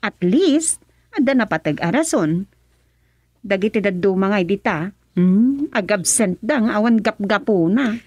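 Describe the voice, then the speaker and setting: A woman's voice: medium pitch, lively intonation, a little slow, darkish tone, dry recording. A woman with an Ilocano accent playing an earnest, opinionated village daughter in a radio drama. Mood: explaining. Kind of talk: radio drama acting, conversational.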